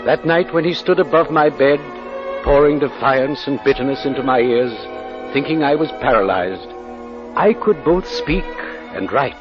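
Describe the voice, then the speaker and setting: An actor playing a solemn, forgiving father giving a funeral eulogy in a radio drama, with measured, grave, preacherly oratory. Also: mid-range voice, animated, lightly acted. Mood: grave, earnest. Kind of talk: 1940s radio drama acting. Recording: muffled vintage radio recording with music.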